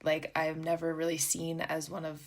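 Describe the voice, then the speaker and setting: A woman's voice: low voice, completely flat delivery, normal speed. A young American woman, a professional skier, speaking as a podcast guest in a candid, reflective way, with frequent "like" fillers. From United States. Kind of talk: casual interview talk.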